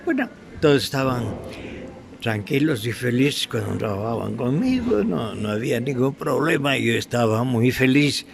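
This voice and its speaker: An older man with an Ecuadorian accent from Quito, reminiscing haltingly and affectionately as an interview guest. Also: medium pitch, sing-song. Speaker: guest, veteran radio actor. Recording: clearly audible background noise.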